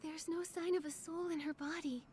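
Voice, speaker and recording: An earnest young healer from a video game. Her voice is high and soft, with a breathy, caring delivery. Faint noise sits in the background. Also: fairly even intonation, normal speed. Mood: gentle concern. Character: gentle, earnest young female adventurer and healer.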